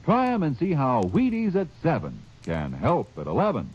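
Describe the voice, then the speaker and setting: A man's voice: wildly swooping pitch, moderate pace, darkish tone, husky. A man announcing a 1950s radio commercial in a hearty, salesy way. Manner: hearty, salesy, rising pitch. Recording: dull old radio transcription.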